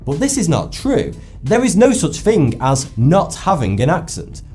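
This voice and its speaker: A man with a West Lancashire accent giving scripted educational narration, with lively delivery and wry asides. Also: on the high side, unhurried, faint music behind. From England. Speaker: narrator of an explainer video.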